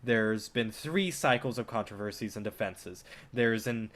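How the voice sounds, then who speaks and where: A man's voice: lowish voice, a little slow, a bit bright. A man in a staged reading, playing a cynical, vengeful film distribution boss who belittles people and swears. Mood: heated lecturing. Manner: profane, belittling, driven.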